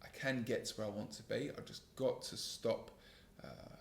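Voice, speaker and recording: A man in his thirties hosting a solo podcast, talking in a calm, earnest, self-critical way about his work as a sales trainer, with a gravelly voice. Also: lowish voice, moderate pace, roomy sound. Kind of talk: solo reflective podcast monologue.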